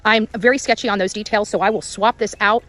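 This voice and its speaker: A woman's voice: medium pitch, steady tone, quick pace, fairly bright tone, soft background music. A woman doing unscripted vlog-style reporting outdoors, often repeating her phrases. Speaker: amateur on-site reporter. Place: outdoors in quiet rural area.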